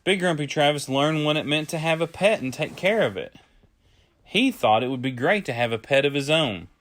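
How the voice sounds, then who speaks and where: A man reading a children's story aloud as a storyteller, with deliberate phrasing. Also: a little high, animated, fairly bright tone. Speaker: storyteller, early childhood educator.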